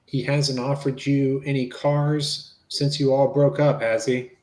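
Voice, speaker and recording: A man's voice: mid-range voice, flat delivery, a little slow, darkish tone, close to an everyday voice. A man reading the part of a police interviewer in a dramatic transcript reading, asking conversational, matter-of-fact questions. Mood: probing, matter-of-fact.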